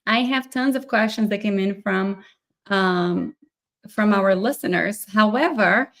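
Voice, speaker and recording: A woman's voice: medium pitch, unhurried, a bit bright. A woman hosting a live podcast interview, explaining her field fluently and upbeat. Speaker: podcast host, myofunctional therapist.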